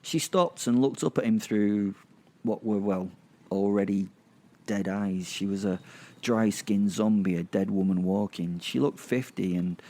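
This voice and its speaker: A man narrating a horror audio drama in the first person, wry and gleeful, pausing for effect. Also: fairly low pitch, dark tone. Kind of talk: first-person sardonic storytelling.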